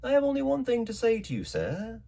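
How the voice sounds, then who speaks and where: A man voicing a calm, fearless gentleman doctor in a storybook, speaking clearly and steadily with stern authority. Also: fairly quick, heavily acted. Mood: stern contempt. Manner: clear, steady, authoritative, rather high delivery.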